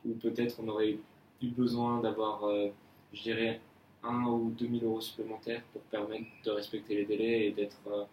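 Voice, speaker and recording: A man's voice: on the low side, little pitch movement, moderate pace, dull audio. A young adult man in a role-played job appraisal interview, talking hesitantly with many fillers and restarts. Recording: echoey room from far off, faint noise.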